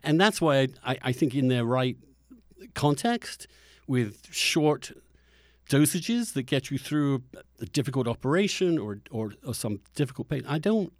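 A middle-aged man speaks as a podcast host in a mid-range voice with very little room sound. He argues his points with emphasis, halting and circling back to restart phrases as he goes. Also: medium pitch, lively intonation, dry recording, studio quality. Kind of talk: conversational interview with opinionated commentary.

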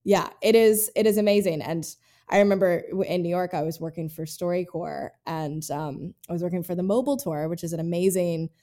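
A woman's voice: lowish voice, a little fast, warm tone. A woman from North Carolina hosting a podcast, telling personal stories in an earnest, reflective way. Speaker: podcast host. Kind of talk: casual interview chat.

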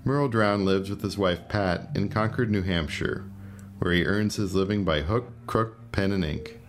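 A man's voice: low-pitched, moderate pace. A man reading scripted announcements as the host of an audio podcast. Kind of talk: scripted narration.

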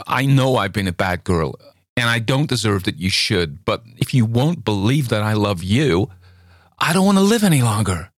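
A man's voice: medium pitch, expressive, normal speed, no room sound, studio quality, pitched above the performer's own voice, natural voice. A man voicing an impulsive young woman who pleads and implores dramatically. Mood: desperate. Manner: breathless, imploring.

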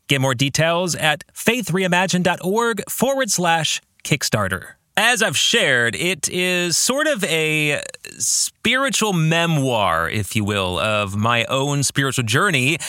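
A man's voice: on the high side, pushed and bright, dry recording, clean studio sound. A man hosting a podcast, narrating in an earnest, emphatic way as an author introducing his work.

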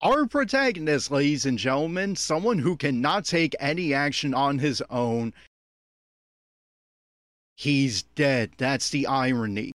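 A man's voice: mid-range voice, expressive, unhurried, a bit bright, dry recording, studio quality. A man giving an unscripted running commentary in a critical, exasperated, opinionated manner. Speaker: commentator on an anime episode.

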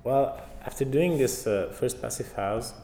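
Animated man in a roomy hall. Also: medium pitch, a little slow, gravelly. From Romania. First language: Romanian and Hungarian. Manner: animated storyteller, acts out quotes, many fillers. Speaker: guest, passive house trainer. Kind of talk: casual interview chat. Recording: roomy reverberant hall, faint background noise.

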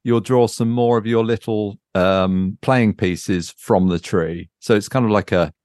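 A man with a fairly low voice talks informally as a podcast host, recorded dry with very little room sound. He thinks aloud in a casual, unscripted way, often searching for the right word. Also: unhurried, a little mellow, no room sound. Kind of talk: casual unscripted chat about board games.

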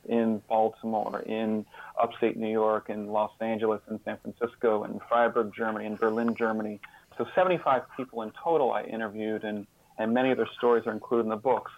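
A man speaking as an interview guest, explaining in a halting way, repeating words and stressing key terms. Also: lowish voice, little pitch movement, dull audio. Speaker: interview guest, author and sociologist.

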